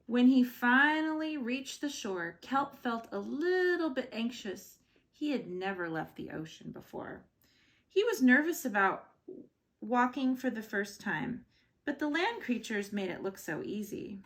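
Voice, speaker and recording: A woman's voice: fairly high pitch, lively intonation, unhurried, fairly bright tone. A woman reading a children's picture book aloud as a bedtime story, expressively and with short asides. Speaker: storybook reader.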